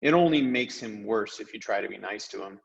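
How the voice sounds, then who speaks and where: A frank, hearty storybook schoolboy, acted out by a man speaks briskly and with emphasis. His phrasing is old-fashioned and his pitch moves freely, on a slightly muffled recording. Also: animated, creaky. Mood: frank, eager.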